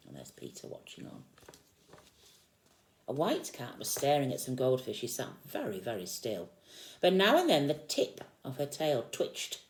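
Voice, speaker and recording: Woman, very deep voice, teacher-style storybook reader for young children, expressive delivery, roomy echoey sound. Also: a little hiss or hum. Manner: expressive, explaining gently to children. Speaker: teacher reading a picture book to young children. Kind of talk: animated storybook read-aloud with explanatory asides.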